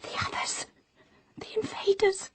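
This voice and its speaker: An actress in a radio drama playing an anxious, sharp wife and mother who grows terrified. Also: a little slow, in a whisper, natural voice.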